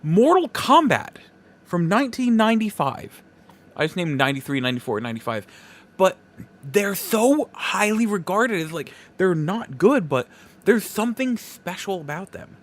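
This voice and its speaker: A man's voice: sits high, very animated, moderate pace. A man hosting a podcast solo, giving opinions in a casual, conversational and emphatic way. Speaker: podcast host.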